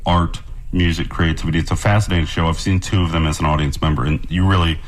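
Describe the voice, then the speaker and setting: A man's voice: sits low, fairly even intonation, quick pace. A man, a guest musician on a radio show, talking in a loose, friendly, slightly rambling way. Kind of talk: casual radio studio chat. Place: radio station studio.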